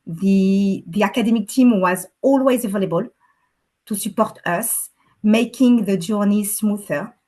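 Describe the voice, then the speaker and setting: A woman with a French accent and French as her first language, giving an earnest testimonial over a video call as an alumna panelist, pausing often with fillers. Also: medium pitch, dark tone. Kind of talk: webinar testimonial.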